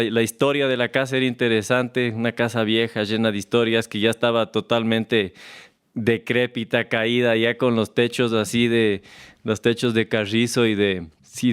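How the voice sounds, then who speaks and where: A man from Ecuador with an Ecuadorian Spanish accent, a farmer talking as a guest in a relaxed, unhurried way, often restarting and repeating words. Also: mid-range voice, smooth. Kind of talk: relaxed conversational storytelling. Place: farm, recorded on location.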